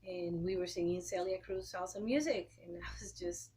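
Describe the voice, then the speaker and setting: A woman in her thirties from Costa Rica, speaking English with a Costa Rican Spanish accent, explaining things in an interview and clearing her throat often. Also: fairly low pitch, steady tone, normal speed, dark tone. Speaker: guest, opera singer and educator. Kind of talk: interview answers.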